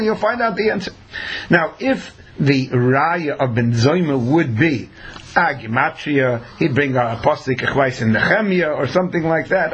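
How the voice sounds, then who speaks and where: Noisy recording of a higher-voiced rabbi lecturing. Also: male voice, fairly bright tone. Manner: mixes in Hebrew and Yiddish phrases, storytelling, rhetorical questions. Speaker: rabbi giving a Torah lecture. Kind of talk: religious lesson with acted-out dialogue.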